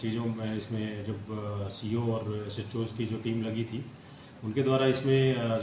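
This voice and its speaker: Halting male police official in echoey room. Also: mid-range voice, a little mellow. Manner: halting, official, with frequent hesitations. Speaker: police official briefing the press. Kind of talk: formal statement to a reporter. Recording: roomy echo, faint background noise.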